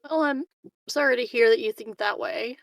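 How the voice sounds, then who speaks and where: A woman with a fairly high voice plays a tabletop roleplaying game. She improvises her lines casually and haltingly, with a playful, teasing manner. The recording is dry and close. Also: vocal fry, dry recording. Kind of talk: casual in-character improvised dialogue and table chat.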